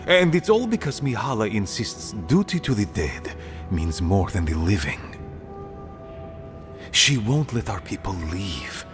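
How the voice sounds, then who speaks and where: An actor playing an aging dwarf, a weary, pragmatic conspirator speaking gravely and earnestly in a fantasy game. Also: male voice, mid-range voice. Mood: grave, resentful. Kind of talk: dramatic game dialogue.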